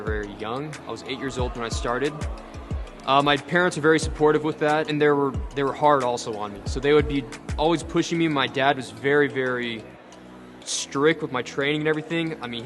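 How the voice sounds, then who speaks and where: Young guy in his twenties, rapid easygoing interview talk over soft background music. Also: medium pitch. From Seattle, Washington. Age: 20s. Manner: casual, lots of fillers like "like" and "you know". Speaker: bodybuilder and actor. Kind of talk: personal interview monologue. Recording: light music behind.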